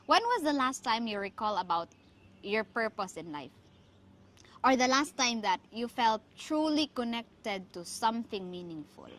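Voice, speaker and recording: A woman from the Philippines with a Filipino accent and a Cebuano mother tongue, hosting reflective, motivational talk with emphatic, deliberate phrasing. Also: a little high, lively intonation, a bit bright. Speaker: podcast host.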